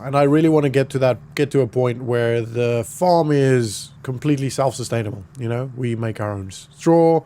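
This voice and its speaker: Croaky-voiced man rambling over gameplay. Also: mid-range voice, a little hiss or hum. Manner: relaxed, rambling, many fillers. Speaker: gaming YouTuber. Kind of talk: casual gameplay commentary.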